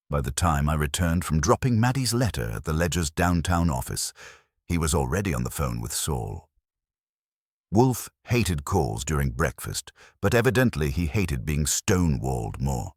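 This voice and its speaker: Extremely deep husky male narrator. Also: dry recording, clean studio sound. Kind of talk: first-person mystery story reading.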